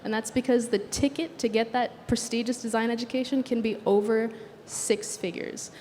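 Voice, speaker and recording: A young woman designer, originally from Sudan with Arabic as her first language, giving an earnest, measured talk on a conference stage. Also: on the high side, fairly even intonation, a little mellow. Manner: earnest, measured delivery with occasional fillers. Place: conference stage in a large hall. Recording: long hall reverb, faint background noise.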